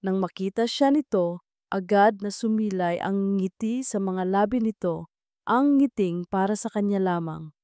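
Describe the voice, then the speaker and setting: A woman narrates a scripted romance drama in a mellow voice of medium pitch. The recording is close and dry. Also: a little slow, smooth, no room sound.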